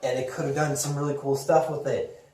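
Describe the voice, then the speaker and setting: In a roomy, echoing space a little away from the mic, a man in his twenties talks at a middling pitch. He co-hosts a casual podcast, halting and rephrasing in an informal way. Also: little pitch movement, darkish tone, slight background noise. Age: 20s. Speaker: podcast co-host. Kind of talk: casual chat about games and movies. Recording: echoey room, distant from the mic.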